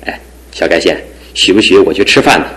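A good-natured young man in a radio play, joking easily and turning earnest when it matters. His voice sits somewhat low for a man. He comes across as a little pampered but sincere. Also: lively intonation, brisk, low-quality recording. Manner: jokey and warm-hearted, earnest when serious. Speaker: radio drama actor. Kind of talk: 1960s-70s studio radio drama acting.

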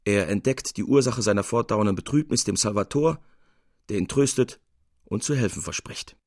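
A male narrator reads literary prose from a script. His voice sits low and has a gravelly, husky grain. It is recorded close and dry, as for an audiobook. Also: moderate pace, a little mellow, no room sound.

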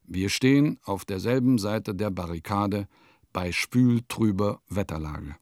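Man playing a slow, theatrical old-world diarist. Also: fairly low pitch, darkish tone, no echo, clean studio sound, lightly acted. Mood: wry, declamatory. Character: wry, theatrical male diarist of bygone small-court provincial life. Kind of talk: literary radio play monologue.

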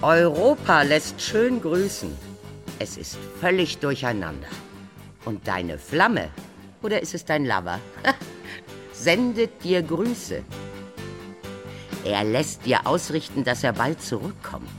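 A man's voice: on the high side, huge pitch swings, slow pace, long pauses, music in the background, exaggerated voice. An actor in a radio play as a mocking, gossipy messenger, teasing and theatrical as he tells his tales. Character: mocking, gossipy male bringer of messages at court.

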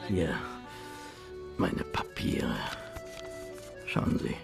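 An actor in a German radio play playing a scheming police detective who is insinuating, hesitant and then insistent. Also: male voice, extremely slow, music in the background. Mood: insistent, conspiratorial. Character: wily, pushy male police detective, coaxing and scheming. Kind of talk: German radio drama acting.

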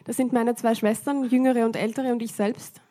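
A woman's voice: on the high side, flat delivery, normal speed, warm tone. A woman interpreting a church service live, phrase by phrase, with frequent hesitation fillers.

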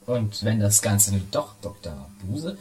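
A man playing an opinionated, jovial gentleman in a comic radio play, recorded in an echoey room. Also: lowish voice, very animated, measured pace. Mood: suspicious.

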